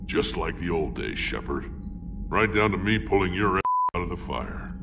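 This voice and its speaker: Gruff gravelly alien warlord, very deep, sardonic, noisy audio. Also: male voice, animated, moderate pace. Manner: blunt, dry and sardonic. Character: hulking gruff male alien warlord, blunt and battle-hardened. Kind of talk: video game voice acting.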